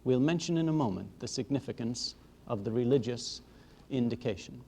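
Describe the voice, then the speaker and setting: Measured, lively-voiced male history lecturer. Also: mid-range voice, husky. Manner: measured, emphatic, rhetorical repetition.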